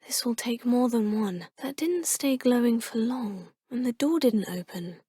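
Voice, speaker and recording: A woman's voice: a little high, animated, unhurried, warm tone, husky, no room sound, clean studio sound. A young woman voicing a polite, curious witch student in video game voice lines, composed and often musing to herself. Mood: whispered asides. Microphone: close, dry studio mic.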